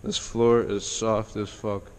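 A man's voice: lowish voice, slow pace. Slangy New man exploring, echoing hall. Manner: excited, slangy, swearing. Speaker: urban explorer vlogger. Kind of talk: casual running commentary while exploring. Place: abandoned church, indoors and outdoors. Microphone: head-mounted action camera. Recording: long reverb in large empty hall, background noise.

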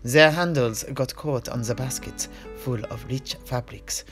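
A man playing a seasoned adventurer who recounts fantasy tales in deliberate, dramatic phrasing. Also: mid-range voice, close to an everyday voice. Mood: measured, dramatic. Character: weary male adventurer from a fantasy Italian city, recounting tales. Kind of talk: in-character fantasy storytelling. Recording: music behind the voice.

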